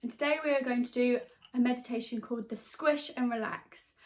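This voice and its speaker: A woman with an expressive, chatty and encouraging way of talking, leading and reading aloud for young children as their teacher. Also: a little high, a little fast. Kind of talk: animated storybook read-aloud and guided exercise.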